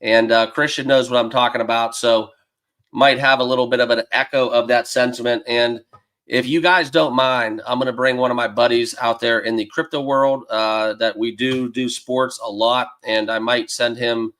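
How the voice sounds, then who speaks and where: A man hosting a sports betting livestream, slightly hoarse as he loses his voice, talking loosely with many fillers and greeting viewers by name. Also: medium pitch, fairly even intonation, moderate pace, fairly bright tone. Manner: loose and rambling, lots of fillers. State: losing his voice, a bit hoarse. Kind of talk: casual livestream chat. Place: dedicated home studio room.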